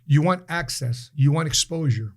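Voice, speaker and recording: A man in his late forties, a guest in a casual interview, telling stories with animated emphasis and repeated phrases. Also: fairly high pitch, moderate pace. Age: 40s.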